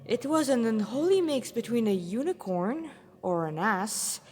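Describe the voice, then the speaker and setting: A woman's voice: a little high, animated, a little slow, a little mellow. A woman narrating a fan fiction fantasy story, reading it aloud as its narrator. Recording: reverberant hall-like room sound.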